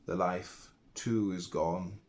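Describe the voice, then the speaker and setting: Low-voiced man reading philosophy aloud. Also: fairly even intonation, slow pace, darkish tone. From United States. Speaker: audiobook reader.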